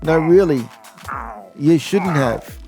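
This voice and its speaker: A man with an Australian accent and a notably high voice narrates a gameplay tutorial. His delivery is informal and blokey, with a joking streak. Soft music plays underneath. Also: lively intonation, takes it slowly. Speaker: video host.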